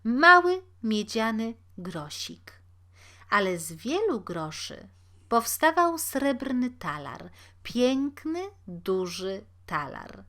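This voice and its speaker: Slow-paced woman reading a storybook. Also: a little high, sing-song, sharp tone. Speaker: storyteller reading a fairy tale aloud. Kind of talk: scripted literary narration, storybook reading.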